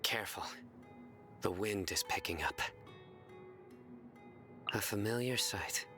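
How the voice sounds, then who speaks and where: A man playing a stern, solemn desert judge in a video game, calm and dryly serious, with measured, deliberate delivery. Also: fairly low pitch. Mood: watchful. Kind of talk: scripted video game character lines. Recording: music behind.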